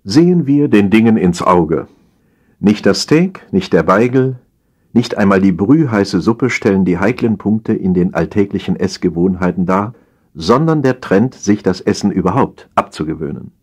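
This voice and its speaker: A man reading a humorous story as a scripted audiobook narration, in an expressive, theatrical storytelling manner. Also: low-pitched, moderate pace, husky.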